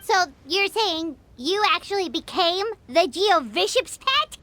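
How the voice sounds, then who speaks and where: An actress voicing a tiny, childlike, excitable fairy companion in a video game, squeaky and easily indignant. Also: female voice, measured pace, no echo, full character voice. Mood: startled. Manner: squeaky, refers to herself in third person. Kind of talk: cartoonish game voice acting.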